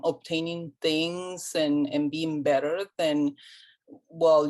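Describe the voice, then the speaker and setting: A woman from Colombia, talking as an interview guest, pausing often with ums and restarts. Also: low-pitched. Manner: hesitant, many ums and restarts. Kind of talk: casual interview conversation.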